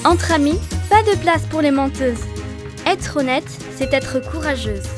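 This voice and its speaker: A woman voicing a caring, earnest little girl who tells stories and gives gentle lessons in a French children's cartoon dub. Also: high-pitched, animated, unhurried. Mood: earnest, encouraging. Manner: earnest storytelling. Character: sweet, caring little girl, moralising young cartoon friend. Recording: music behind the voice.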